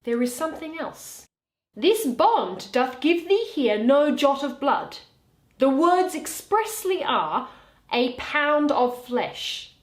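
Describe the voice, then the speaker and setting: A woman playing a stern, commanding young lawyer, speaking formal Shakespearean verse with deliberate emphasis. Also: high voice, sing-song, heavily acted. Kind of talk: Shakespearean stage verse reading. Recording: roomy, echoing room sound.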